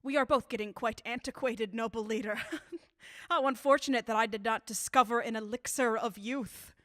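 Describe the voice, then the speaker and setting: A woman playing an embittered elderly scientist in a radio drama, measured, calm and dryly sardonic. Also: a little high, no echo, studio quality, lightly acted. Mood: sardonic, assured. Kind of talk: 1930s-style radio drama acting.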